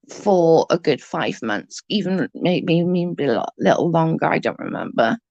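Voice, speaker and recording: Creaky-voiced woman who stutters. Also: on the low side, no echo. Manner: rambling, halting, often restarts and repeats words. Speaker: livestream host reading chat. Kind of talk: casual livestream chat.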